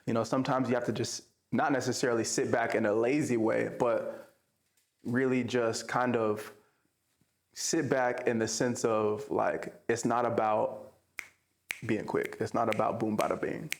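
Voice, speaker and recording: A young man in his twenties joins a casual podcast as the guest. His gravelly voice sits at an ordinary pitch for a man. He talks in a relaxed, reflective way and often adds "you know.". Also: unhurried. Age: 20s. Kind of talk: casual conversation.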